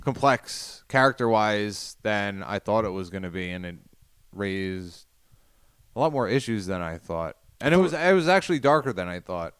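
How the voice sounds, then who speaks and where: A man's voice: fairly low pitch, lively intonation, moderate pace, a bit bright, clear, resonant tone, no echo. A man co-hosting a film podcast, talking thoughtfully and analytically. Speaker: podcast co-host. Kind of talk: casual film podcast chat.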